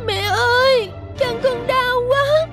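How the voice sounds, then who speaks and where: A woman voicing a hurt small child in a cartoon, whimpering tearfully in drawn-out words. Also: very high-pitched, music in the background. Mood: pained crying.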